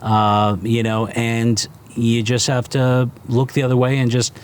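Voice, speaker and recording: A man in his fifties from New Jersey, a restaurant founder talking casually in a fireside interview, halting with fillers and restarts. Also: mid-range voice, completely flat delivery, slight background noise. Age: 50s. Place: outdoors by a fire pit.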